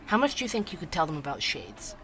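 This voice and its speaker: A man's voice: a little high, wildly swooping pitch, fast, bright, forceful tone, slight background noise, full character voice, poor audio. An actor playing a curious, chatty male podcast host, conversational and wry in an explanatory way, in a scripted audio drama. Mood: measured, explanatory. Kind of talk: scripted fiction podcast narration and interviews.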